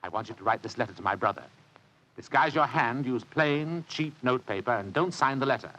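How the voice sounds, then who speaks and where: Senior gentleman sleuth: commanding, shrewd, indolent, forceful tone, animated inflection, vintage radio drama. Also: mid-range voice, a little fast, poor audio. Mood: scheming. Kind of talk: old-time radio drama acting.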